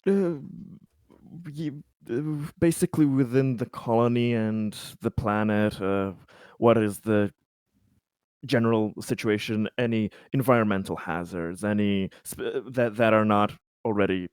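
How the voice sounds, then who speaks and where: Hesitant man with a lowish voice. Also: a little mellow, smooth, dry recording, clean studio sound. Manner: hesitant, halting, polite. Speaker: player in a tabletop roleplaying game. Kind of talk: improvised in-character roleplay and questions.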